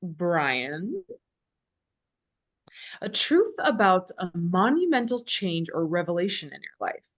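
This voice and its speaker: Deliberate, commanding woman game host acted out. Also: lowish voice, sing-song, dull audio, heavily acted. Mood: presiding. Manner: deliberate, authoritative. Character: commanding female game show host, firm and teasing. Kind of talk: informal script table read.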